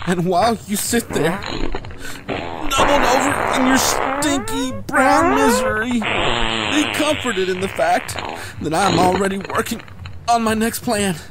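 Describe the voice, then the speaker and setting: An actor playing a grandiose, bumbling gang schemer given to pompous speeches in a comedy radio drama. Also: male voice, very high voice, lively intonation, unhurried, heavy background noise. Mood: strained, defiant.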